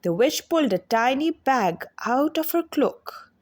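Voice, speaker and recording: Expressive woman storyteller with a lively pitch. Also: a little slow. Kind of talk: scripted children's fairy tale read-aloud.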